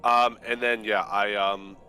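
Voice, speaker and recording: A man's voice: on the low side, level tone, a little slow, bright, forceful tone, faint music behind. A man chatting casually as a player in a tabletop roleplaying game.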